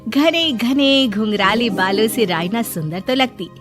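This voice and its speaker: Woman, scripted story narration, high pitch, very animated intonation, faint music behind. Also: unhurried.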